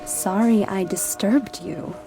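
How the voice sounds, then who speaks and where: A woman's voice: mid-range voice, slow pace, music in the background, low-quality recording. An actress playing a practical, casual female office worker with a plain, matter-of-fact manner in a television drama. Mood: businesslike.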